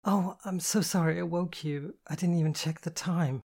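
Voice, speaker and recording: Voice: mid-range voice, moderate pace, warm tone, voice pushed up, lightly acted. A narrator voicing an anxious, tearful young woman who speaks in a hesitant, apologetic way in an audiobook. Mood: meek, apologetic.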